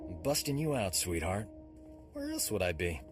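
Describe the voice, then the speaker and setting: A man's voice: deep voice, wildly swooping pitch, normal speed. A man acting as a cocky, flirtatious army medic in a video game, with a breezy, swaggering manner. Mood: cocky, teasing. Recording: faint game music behind the voice.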